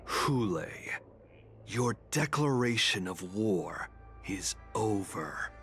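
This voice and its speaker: A man's voice: on the low side, animated, takes it slowly, a bit bright, faint music behind, full character voice. A male actor playing a stern young warrior in a video game, speaking in solemn, resolute declarations. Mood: stern defiance. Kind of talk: dramatic video game acting.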